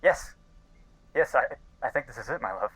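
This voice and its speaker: A man playing an earnest, guilt-ridden scientist in an audio drama, speaking intensely and haltingly as if recording a log. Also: mid-range voice, lively intonation, fairly bright tone, slightly rough. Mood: excited, breathless. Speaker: voice actor. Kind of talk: dramatic audio-log monologue in a fiction podcast.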